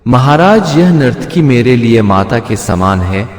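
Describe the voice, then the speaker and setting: Echoey, man narrator as young prince, repentant, respectful, measured pace, storybook confession. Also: mid-range voice, big pitch swings. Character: young prince, impatient heir turned repentant. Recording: echoey hall-like reverb.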